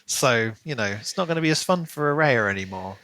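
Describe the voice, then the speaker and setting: A man's voice: medium pitch, animated, brisk, bright, forceful tone, dry recording, rough recording. A man, co-hosting a podcast and chatting casually about books. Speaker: podcast co-host. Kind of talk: casual book review chat.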